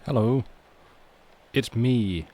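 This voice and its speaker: A man's voice: mid-range voice, drawn-out words, warm tone. Male stream host, mid-range, casual chat to audience, slight background noise.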